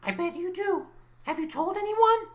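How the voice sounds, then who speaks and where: Woman playing a very high-pitched, guarded scientist. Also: animated. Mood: guarded, self-deprecating. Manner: halting, guarded. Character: self-conscious robopsychologist woman in her late thirties, guarded, lovestruck. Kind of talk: radio play acting.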